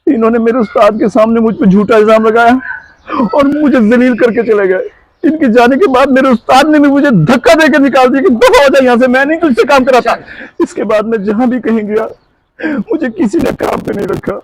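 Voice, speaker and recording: A man's voice: extremely high pitch, fairly quick, slight background noise. A man playing a poor, honest middle-aged tailor and father driven to beg, plaintive and wounded, in an amateur moral drama. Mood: hurt, defensive. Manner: plaintive, pleading. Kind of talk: amateur moral short-film acting.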